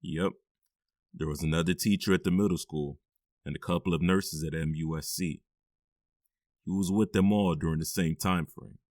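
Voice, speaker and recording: A man's voice: low voice, fairly even intonation, normal speed, pauses often, a little mellow, dry recording, studio quality, close to an everyday voice. An actor playing a firm but loving middle-aged stepfather who sighs often and talks wearily. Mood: confiding. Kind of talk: audio drama acting.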